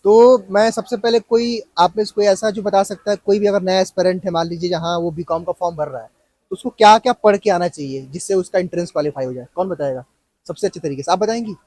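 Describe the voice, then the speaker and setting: A male interviewer who talks very fast and fires off short questions. His voice is husky and a little rough. He sounds close and dry, with no room echo. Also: high voice, darkish tone. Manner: prompting, rapid-fire questions. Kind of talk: casual campus interview.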